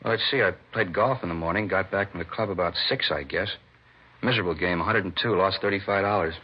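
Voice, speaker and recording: A man's voice: low-pitched, fast talker, breathy edge. An American man playing a casual, grumbling man-about-town suspect in a 1950s radio drama, talking in breezy, clipped phrases. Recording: muffled vintage radio recording.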